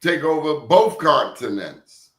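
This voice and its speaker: A man's voice: a little high, expressive, slow, fairly bright tone, roomy sound. A man hosting an interview show, telling stories in an emphatic, preachy way. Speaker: show host. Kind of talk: casual interview talk.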